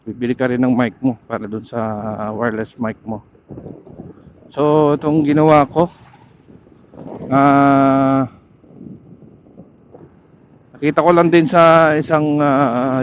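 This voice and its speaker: A man with a Filipino accent vlogging casually while riding a motorcycle, pausing often to search for words, speaking into a helmet intercom mic. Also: medium pitch, lo-fi sound, slight background noise. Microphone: helmet intercom headset.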